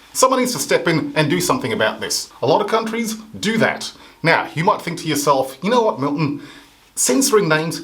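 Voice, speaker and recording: Man from Africa, comedy host, sarcastic satirical monologue with punchy mock outrage, voice sits a touch high. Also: fairly bright tone.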